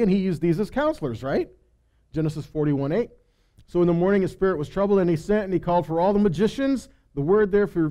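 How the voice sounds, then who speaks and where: A man's voice: on the high side, dark tone. A man teaching a Bible study, reading scripture and explaining it conversationally, with emphasis on key phrases and frequent check-ins with listeners.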